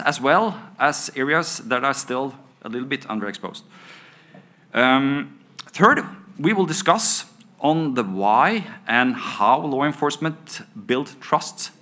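A man's voice: mid-range voice, sharp tone. A middle-aged man introducing a conference panel from notes, with frequent fillers and restarts. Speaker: panel moderator. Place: conference panel stage indoors. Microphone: table microphone on stand.

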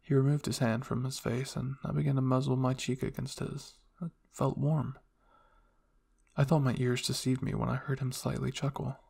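A man's voice: medium pitch, level tone, moderate pace, vocal fry, warm tone, slightly rough. A man reading a first-person fan fiction story as an ASMR roleplay narrator.

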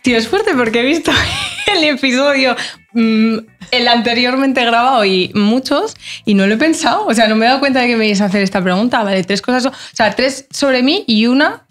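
A woman's voice: a little high, expressive, brisk. A woman in her thirties from Portugal, a guest chatting casually on a podcast, with many fillers and restarts, close on a desk mic in a studio. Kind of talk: casual chat. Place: podcast studio with plants and a backdrop.

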